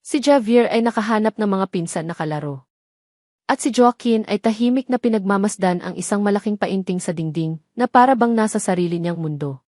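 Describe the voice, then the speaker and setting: A woman's voice: medium pitch, expressive, fairly quick, a little mellow, dry recording, studio quality. A woman storyteller giving a dramatic scripted narration of a romance story.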